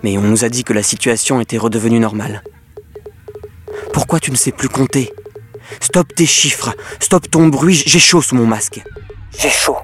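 An actor playing a troubled technician in an audio drama, speaking poetically in short, halting, urgent phrases. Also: male voice, medium pitch, lightly acted. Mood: pleading. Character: troubled masked male technician pleading with his measuring device. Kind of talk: French audio fiction monologue.

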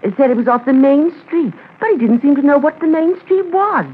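A woman's voice: sits high, expressive, brisk. An actress playing an eager, gossipy, scatterbrained woman witness in a 1950s radio drama, rambling and correcting herself. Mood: chatty, confiding. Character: chatty, eager, muddle-headed woman witness running tourist cabins. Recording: tinny, noisy 1950s radio transcription.

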